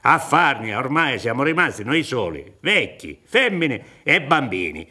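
A man's voice: medium pitch, big pitch swings, unhurried, pushed and bright, exaggerated voice. An older man acting in a radio play as a grumbling, sardonic old Sicilian peasant, exclamatory and full of muttered asides. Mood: bitter grumbling.

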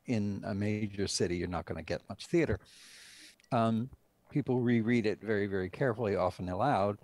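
Low, dry-sounding older man explaining. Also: a little mellow, dry recording. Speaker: writer and director being interviewed. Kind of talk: explanatory interview answers. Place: indoor room on a video call. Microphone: video call.

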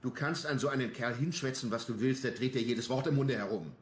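An actor playing a gruff, combative, embittered police detective in a radio crime drama, talking bluntly and coarsely. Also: male voice, mid-range voice, brisk, natural voice. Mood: combative, defiant. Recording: roomy sound with faint noise.

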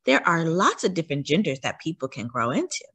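A woman with a husky voice reading a children's picture book aloud expressively, putting questions to young listeners. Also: low-pitched, very animated, a little fast, a bit bright, no echo. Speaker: read-aloud storyteller for children.

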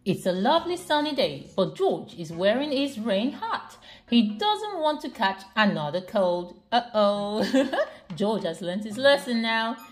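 A woman's voice: on the high side, very animated, a little slow. A mother reading a picture book aloud to her young child, asking questions and encouraging her. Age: adult, mother of a young child. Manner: encouraging, repeats the child's answers. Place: home kitchen, indoors. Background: faint children's music.

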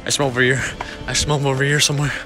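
A man's voice: mid-range voice, flat delivery, rapid-fire, over music, lightly acted. A man voicing a dogged furry beast on the hunt, muttering and repeating himself in an improvised home puppet film. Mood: searching, intent.

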